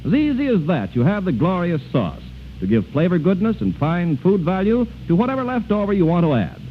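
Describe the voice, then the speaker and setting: A man's voice: sits high, wildly swooping pitch, brisk. An American man announcing in a polished, emphatic sales style, like a 1940s radio commercial. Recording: tinny, noisy 1940s radio broadcast.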